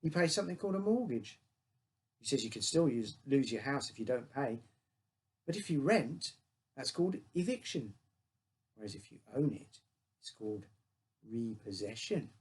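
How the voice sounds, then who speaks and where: A man's voice: medium pitch, very animated, moderate pace, pauses often. A man reading his own short story aloud as a first-person narrator, in simple childlike phrasing.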